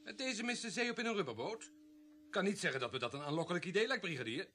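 A man's voice: high-pitched, sing-song, fast talker, bright, forceful tone, full character voice. An actor playing a keen, wry junior policeman in a radio play, speaking informally. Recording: noisy radio drama recording.

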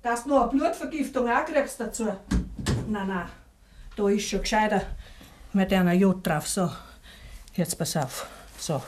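An actress playing a practical, worried, middle-aged farmer's wife with a Bavarian accent in a 1950s dialect radio play. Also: female voice, mid-range voice, unhurried, long pauses, slight background noise, close to an everyday voice. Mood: worried, scolding. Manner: plain-spoken, fretting dialect speech. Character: farmer's wife of many years, anxious, practical, scolding.

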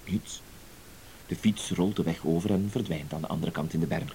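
An actor playing a cool, self-assured professional blackmailer in a radio drama, terse and matter-of-fact. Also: male voice, low voice, normal speed, warm tone. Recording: hissy old radio play recording.

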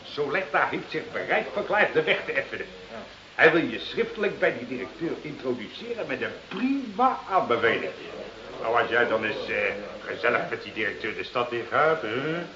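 A man's voice: mid-range voice, sing-song, moderate pace, a crowd in the background, natural voice. A man acting in a Dutch radio play as a sly, pompous small-town mayor, officious, hemming and full of insinuation. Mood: scheming, confiding. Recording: muffled, echoey old radio drama recording.

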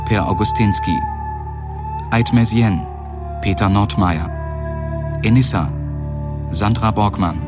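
A man reading formal radio drama credits as announcer, measured and matter-of-fact. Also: on the low side. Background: music.

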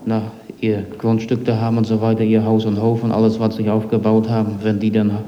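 A man from East Germany talking colloquially and haltingly in an interview, often tagging his phrases with a questioning word. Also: on the low side, completely flat delivery, warm tone. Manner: repeatedly tags phrases with "nicht?". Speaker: refugee interviewee. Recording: dull, noisy, reverberant old tape.

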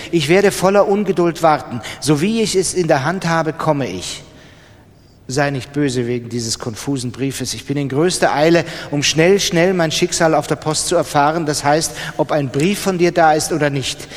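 An actor playing a desperate gambler pleading by letter in a radio play, with an urgent, agitated, insistent manner. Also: male voice, a little high, moderate pace, close to an everyday voice. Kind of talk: literary radio play monologue, letter reading. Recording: long reverberant hall.

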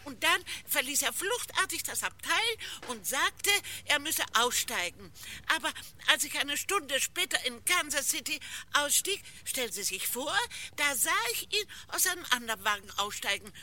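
A woman's voice: high-pitched, expressive, moderate pace, bright, forceful tone, full character voice. An actress playing a fussy, self-important old lady in a German radio crime play, telling things in a gossipy, eager, over-precise way.

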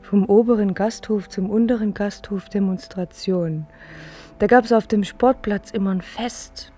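A woman's voice: medium pitch, warm tone, natural voice. An actress playing an earnest, dreamy working woman in a German radio play, speaking simply and hesitantly. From East German mountain village. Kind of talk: German radio play acting, intimate monologue and dialogue.

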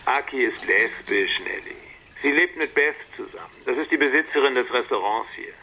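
A man playing a matter-of-fact ex-detective in a radio play, with a rough, husky voice. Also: medium pitch, expressive, moderate pace, bright, forceful tone, a little hiss or hum, low-quality recording. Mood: explaining, casual. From Netherlands. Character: male Dutch ex-detective reporting by phone, matter-of-fact.

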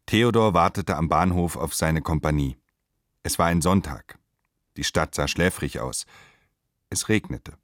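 A man narrates a literary radio drama in a measured, declamatory way with emphatic phrasing. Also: sits low, no room sound, clean studio sound.

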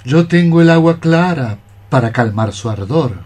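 An actor playing a lovelorn, dreamy young cockroach poet, declaiming lyrical verse in a radio play. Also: male voice, fairly high pitch, lively intonation, moderate pace, darkish tone, husky. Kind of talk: radio theatre verse acting.

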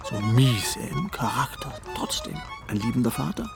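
Gravelly, animated old Hessian poet. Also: male voice, mid-range voice, moderate pace. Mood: scornful musing. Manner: grumbling, self-important, colloquial asides. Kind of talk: radio drama monologue, musing aloud. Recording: music behind the voice.

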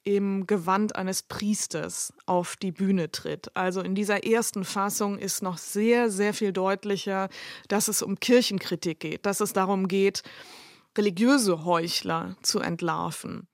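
A woman speaks as an expert being interviewed, explaining with emphasis. Her voice is medium in pitch, and the recording is dry with little room sound. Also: fairly even intonation, measured pace, dry recording. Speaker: expert commentator. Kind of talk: interview explanation of literary history.

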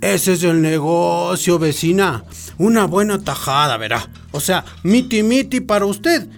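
Sly, slow-talking young swindler. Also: female voice, on the low side, animated, vocal fry. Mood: wheedling. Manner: wheedling, repetitive colloquial street talk. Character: sly, pushy young swindler extorting a shopkeeper. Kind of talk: radio drama acting. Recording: music bed behind the voice.

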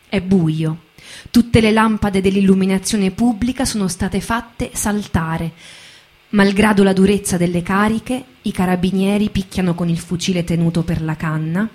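A woman giving dramatic scripted narration for radio theatre, in measured, short phrases. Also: medium pitch, a little mellow. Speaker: stage narrator.

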